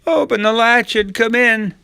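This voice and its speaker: A man's voice: normal speed, creaky, bright, forceful tone, clear, resonant tone, no room sound, heavily acted. A man voicing a wicked storybook wolf who slyly imitates a frail old grandmother in a coaxing, quavering voice. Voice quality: quavering, falsetto-like.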